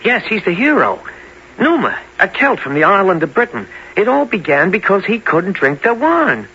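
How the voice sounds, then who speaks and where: A man's voice: high-pitched, sing-song, normal speed, sharp tone, slightly rough, heavily acted. An actor playing an earnest, haunted young man in a 1970s radio drama, hesitant and dramatic in his delivery. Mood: earnest, agitated. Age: young adult. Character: earnest, intense young man haunted by past-life memories. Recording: dull, noisy 1970s radio recording.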